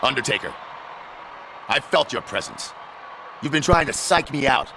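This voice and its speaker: A man's voice: mid-range voice, lively intonation, moderate pace, sharp tone, a little hiss or hum, low-quality recording. A man playing a brash, emphatic young pro wrestler in a video game cutscene. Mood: defiant. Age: young adult.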